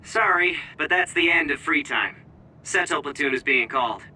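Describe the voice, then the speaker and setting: A sharp, low-pitched military operator. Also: female voice, animated, normal speed, slight background noise, exaggerated voice, low-quality recording. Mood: apologetic, businesslike. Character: brisk female military operator relaying orders. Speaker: voice actor in a video game. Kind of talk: dubbed anime-style game acting.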